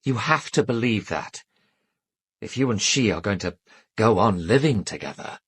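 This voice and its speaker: A well-educated English gentleman in a radio drama. His voice is fairly low and noticeably husky, recorded dry. He is polite but guarded and defensive, with a weary, wry self-deprecation. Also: lively intonation, moderate pace, no echo. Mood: wry, confessional. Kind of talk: British radio drama acting.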